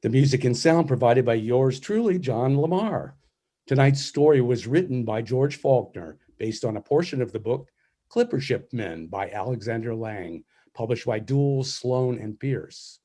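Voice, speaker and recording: A man's voice: mid-range voice, animated, a little slow, warm tone. A man narrating and announcing a radio play from a script, in storytelling style.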